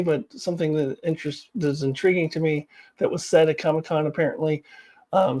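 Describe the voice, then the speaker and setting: A woman's voice: fairly low pitch, a little mellow. A woman giving casual, opinionated commentary as a vlog host, with a wry, blunt, exasperated and giggly manner.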